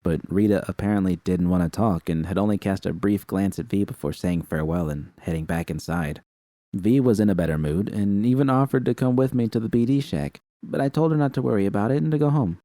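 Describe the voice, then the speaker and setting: A man narrating a first-person fan fiction story in a steady, even way. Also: lowish voice, a little fast, no room sound. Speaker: audiobook reader.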